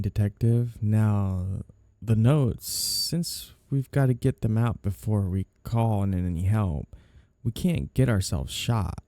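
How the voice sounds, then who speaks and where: Guy acting as sly mob boss for asmr, very dark mellow voice, slow, teasing n menacing, super dry close sound. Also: dry recording, exaggerated voice. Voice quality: gravelly, low and dark. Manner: flirty, teasing, coolly threatening. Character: flirty, menacing male mafia boss, smooth and sly. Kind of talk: ASMR roleplay, intimate scripted monologue.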